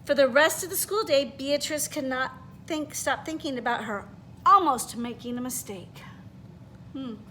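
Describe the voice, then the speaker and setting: A woman reading a children's picture book aloud in an expressive, animated way, pausing to put questions to her listeners. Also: high-pitched, a little hiss or hum. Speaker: read-aloud storyteller.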